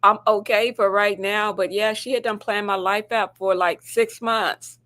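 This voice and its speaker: A woman's voice: medium pitch, steady tone, a little slow, a bit bright. A woman from the United States co-hosting a casual morning show in an informal, storytelling way. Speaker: talk show co-host.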